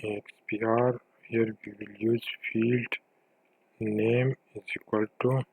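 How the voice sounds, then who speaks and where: A man with a fairly low voice walks through a software tutorial step by step. His delivery is halting, built from short pieced-together phrases, and the sound is dry with little room in it. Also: flat delivery, creaky, breathy edge, no echo, low-quality recording. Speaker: software developer giving a tutorial.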